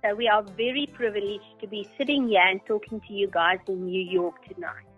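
A woman's voice: mid-range voice, steady tone, brisk, slightly rough, narrow, tinny audio, soft background music. A woman from South Africa, the guest's mother, speaking in a cheerful, gracious way.

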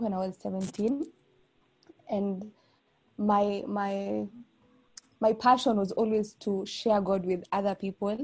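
A woman from Kenya, a pastor, speaks in a relaxed, reflective way on a podcast. Her voice is mellow and soft-edged, sitting at an everyday pitch. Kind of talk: casual podcast chat.

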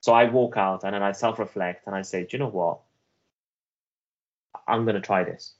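Man, Welsh accent, very low pitch; earnest interview guest, halting delivery, muffled lo-fi audio. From Afghanistan. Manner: earnest, halting, with many restarts and fillers. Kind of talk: reflective personal storytelling.

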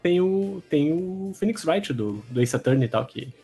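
Male podcast host, Brazilian accent, husky and somewhat high, casual game talk over soft music. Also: lively intonation, normal speed. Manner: conversational, introducing topics. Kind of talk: casual discussion of games and anime.

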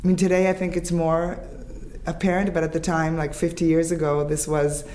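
A woman's voice: low-pitched, flat delivery, dark tone. Reflective woman in her fifties. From Israel. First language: English. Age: 50s. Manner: halting, restarts and fillers, reflective. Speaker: guest. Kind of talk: conversational interview.

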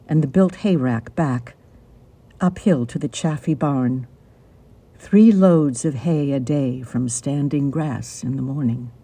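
A man reciting a poem with measured, deliberate phrasing. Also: medium pitch, warm tone, husky. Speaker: poetry reader. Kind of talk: poetry recitation.

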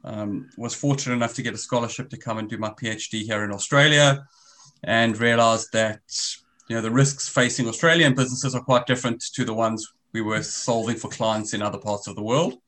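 A South African man in his forties talks on a remote call, his voice pitched in the ordinary middle range for a man. He explains in long, winding runs and often restarts his sentences. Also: fairly even intonation, a little fast, fairly bright tone. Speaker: guest, risk business founder. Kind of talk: conversational interview.

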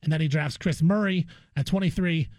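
A man's voice: a little high, brisk, darkish tone, dry recording, studio quality. An American man co-hosting a sports talk show, discussing basketball casually and with opinion, often repeating phrases for emphasis.

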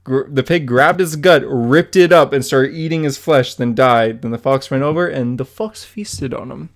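A man's voice: mid-range voice, moderate pace. Young man, giggly comic-book podcast host. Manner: laughs often while summarizing a story.

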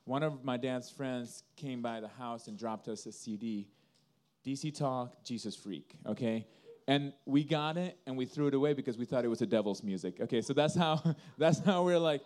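A man from Indonesia with a dark, relaxed voice at an ordinary pitch. He speaks as a band musician in an interview, telling stories in an earnest, conversational way. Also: moderate pace. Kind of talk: casual interview answers, storytelling.

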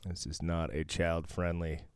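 A man's voice: sits low, little pitch movement, unhurried, clear, resonant tone. A man co-hosting a casual podcast from a friend's bedroom, cracking jokes and laughing often while nursing a cough and a cough drop. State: has a cough, sucking a cough drop. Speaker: podcast co-host. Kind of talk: casual joking chat.

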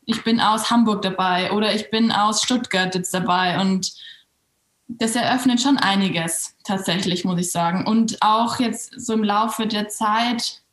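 Hesitant woman in a roomy space. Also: medium pitch. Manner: hesitant, restarts and fillers. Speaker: yoga teacher and co-founder. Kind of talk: casual interview talk. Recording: roomy echo.

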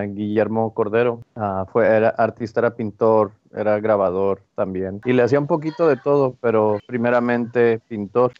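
Man, northern Mexican accent, medium pitch, casual interview guest, digressive, dry lo-fi sound. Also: darkish tone, dry recording. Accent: northern Mexican Spanish. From Ciudad Juárez, Mexico, and El Paso from age twelve. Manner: informal, digressive, often tags phrases with a checking "¿no?". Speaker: guest, printmaker artist. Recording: slightly dull, lo-fi, dry sound.

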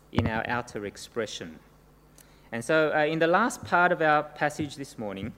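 A man's voice: on the high side, fairly bright tone. Man preaching in an echoing hall. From Korea. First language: Korean. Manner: earnest preaching with rhetorical tag questions. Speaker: pastor. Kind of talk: sermon. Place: church service before a congregation. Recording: long church-hall reverb, faint noise.

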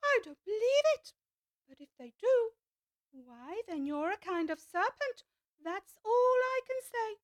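A woman's voice: very high voice, sing-song, unhurried, no echo, voice pushed up, heavily acted. A woman voicing an indignant mother pigeon guarding her eggs, accusing and sulky in a storybook reading. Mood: shrill, indignant.

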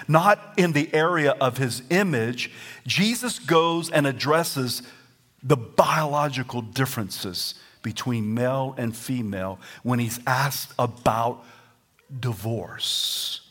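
A man with a Southern US accent preaching a sermon as pastor, lively and at times rising to near-shouts and singing. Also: medium pitch, slow.

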